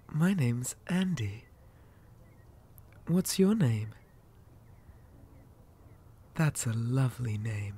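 Creepy living doll boy for ASMR horror male actor: mellow, husky half-whisper, falsely sweet, polite, lonely. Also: mid-range voice, exaggerated voice. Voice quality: soft, breathy, often dropping to a whisper. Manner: gentle, unsettlingly polite, lilting childlike address. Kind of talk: ASMR roleplay.

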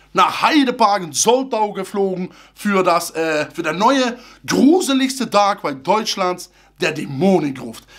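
A man, chatting casually to camera as a theme park vlogger in a small room, animated and a little loose with grammar. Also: high-pitched. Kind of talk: casual vlog talk to camera. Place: small room full of fan merchandise, indoors. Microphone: camera at close range.

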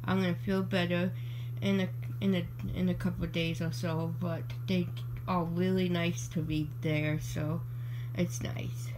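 A woman's voice: fairly low pitch, flat delivery, unhurried, background noise. A woman with a cold, chatting affectionately in a casual home vlog and often repeating herself, sometimes dropping into a whisper. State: stuffy from a cold. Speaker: beauty collection vlogger. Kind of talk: casual show-and-tell vlog. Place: home, on a bed with a cat.

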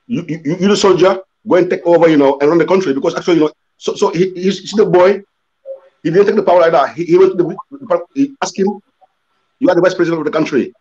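An older man talking as a panel guest on a video call, halting, with many restarts and "you know" as he explains. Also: on the high side, darkish tone, a little hiss or hum. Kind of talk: casual discussion on a video call. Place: room indoors. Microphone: laptop webcam video call. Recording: slightly dull video-call audio.

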